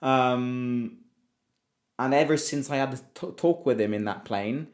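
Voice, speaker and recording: A man's voice: medium pitch, measured pace, a little mellow. Chatty man with many restarts. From Italy. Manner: chatty, self-interrupting with frequent restarts and repeated words. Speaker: football fan channel host. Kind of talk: casual livestream chat reading viewer comments.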